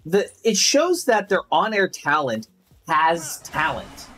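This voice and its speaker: Loud, husky young man rambling. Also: on the high side, sing-song, takes it slowly. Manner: loud, rambling, swears freely, many restarts. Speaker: streamer and podcast host. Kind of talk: casual chat while gaming. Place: home room at a gaming desk. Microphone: headset.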